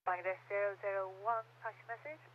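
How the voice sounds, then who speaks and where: A man's voice: high voice, steady tone, quick pace. A man working as a ground controller, giving polite, procedural instructions in clipped radio phrases. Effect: radio transmission. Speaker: air traffic ground controller. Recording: dull, faintly noisy radio.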